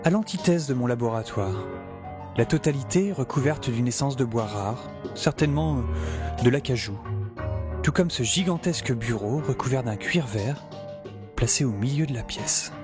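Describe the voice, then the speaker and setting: An actor playing a flippant professor and explorer in an audio drama, wry and informal in narration and dialogue. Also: male voice, medium pitch, expressive, moderate pace, music in the background, natural voice. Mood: wry, reflective. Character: flippant, hesitant male professor and explorer narrating his adventure.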